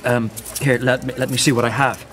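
A man's voice: mid-range voice, level tone, a little fast, fairly bright tone, natural voice. An actor playing a well-meaning young nobleman in a historical radio drama, polite, hesitant and prone to stammering. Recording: crowd and music behind, outdoor scene.

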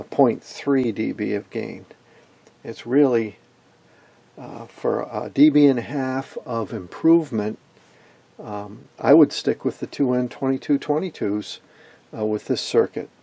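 A man presenting an unscripted technical demonstration in a halting, matter-of-fact way. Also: mid-range voice, creaky, mellow. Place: indoors at an electronics workbench.

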